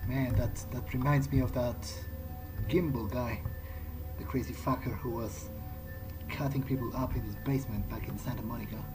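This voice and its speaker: A man's voice: medium pitch, fairly even intonation, moderate pace, poor audio. A man giving casual, in-character game commentary, thinking aloud in a musing, sardonic way. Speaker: let's player playing in character. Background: game music.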